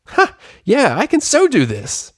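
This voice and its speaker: A man's voice: very high-pitched, wildly swooping pitch, measured pace, no echo, studio quality, voice pushed up, full character voice. A man voicing a brash, boastful tomboy pony girl with a slangy, swaggering delivery in a story reading. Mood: cocky, excited. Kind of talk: character voice in a fan fiction reading.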